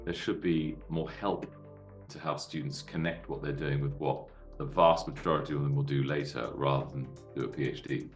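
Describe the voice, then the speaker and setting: A man in his fifties with a bass voice, a guest on a podcast, telling his story in an animated, expansive way. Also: moderate pace, music in the background. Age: 50s. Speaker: guest, university co-founder. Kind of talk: podcast interview.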